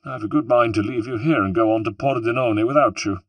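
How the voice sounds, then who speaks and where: A man's voice: mid-range voice, brisk, no echo, lightly acted. A man voicing a weak, middle-aged henpecked nobleman in a storybook, meek and wheedling with a sly streak. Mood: peevish.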